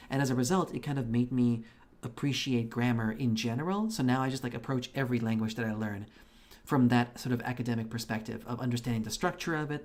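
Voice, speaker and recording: A man's voice: medium pitch, darkish tone. A man from Belarus whose first language is Russian, chatting casually and with plenty of swearing while streaming a game. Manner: rambling and profane, with many restarts. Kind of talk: casual live-stream chat while gaming.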